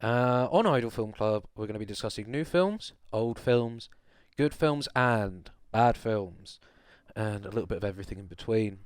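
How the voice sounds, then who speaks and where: A man's voice: lowish voice, expressive, moderate pace, smooth, dry recording. A man co-hosting a film podcast, chatting casually with wry, sarcastic humour and some swearing. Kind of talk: casual chat, recapping a film plot.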